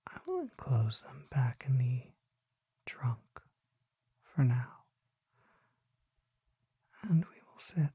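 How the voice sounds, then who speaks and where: A mysterious vampire apothecary, acted by an ASMR performer, whispers with solemn patience. The delivery is slow, deliberate and formally worded. The sound is very soft and dark, close and dry, full of breath. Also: mid-range voice, very animated, breathy edge, no room sound, heavily acted. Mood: solemn, gentle.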